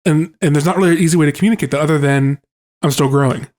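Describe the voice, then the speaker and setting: A young man co-hosting a podcast, reasoning things through aloud in a relaxed, thoughtful way. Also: on the high side, racing. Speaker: podcast co-host. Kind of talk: casual podcast conversation.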